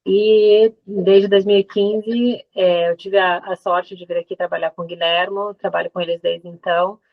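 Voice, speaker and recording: A woman from Brazil, a lawyer introducing herself in a webinar, brisk and self-correcting. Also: mid-range voice, flat delivery. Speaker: lawyer guest. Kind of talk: webinar presentation. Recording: dull lo-fi webinar audio.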